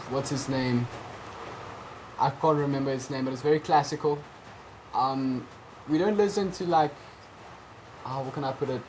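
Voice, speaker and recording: A man's voice: mid-range voice, a little mellow, a little hiss or hum, rough recording. Teenage South African guy, Afrikaans native. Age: teens. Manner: hesitant, lots of ums, friendly. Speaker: guest, junior tennis player. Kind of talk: casual interview answers. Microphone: video call.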